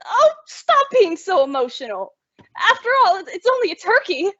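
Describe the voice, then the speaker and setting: A woman's voice: very high voice, sing-song, normal speed, fairly bright tone, no echo, full character voice. A woman playing a sensible, exasperated young roommate who also narrates an old-time radio comedy in a wry, bossy way. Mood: exasperated, wavering. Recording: dull, lo-fi, dry audio.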